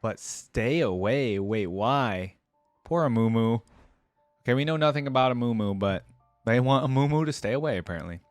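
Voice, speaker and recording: A man's voice: mid-range voice, lively intonation, normal speed, no room sound. A man hosting a casual reaction video, commenting on game voice lines in a musing way and repeating phrases to himself. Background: music.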